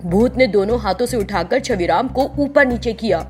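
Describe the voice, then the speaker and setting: A woman narrating a scripted children's story in an expressive storytelling way. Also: on the high side, normal speed, faint music behind.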